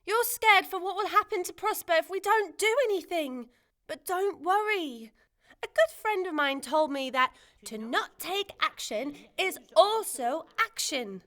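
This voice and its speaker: A woman's voice: extremely high pitch, expressive, measured pace, a bit bright, exaggerated voice. A woman playing a brave, kind-hearted young girl in a family audio drama, earnest and hopeful. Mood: reassuring.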